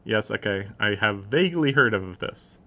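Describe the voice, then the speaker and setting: A man's voice: lowish voice, animated, moderate pace, pushed and bright. A man live-streaming a visual novel, reading the narration aloud and breaking into casual, incredulous commentary with some swearing. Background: game music.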